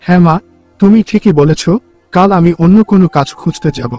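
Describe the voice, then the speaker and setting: A man's voice: sits high, a little fast, a little mellow, faint music behind, natural voice. A man playing an earnest, respectful, poor young day labourer in a cartoon story. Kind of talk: cartoon dialogue.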